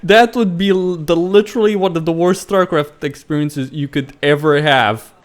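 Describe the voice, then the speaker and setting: A man's voice: fairly high pitch, normal speed. A man hosting a let's play, reading visual novel dialogue aloud and adding casual commentary, stumbling and correcting himself as he goes. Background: game music.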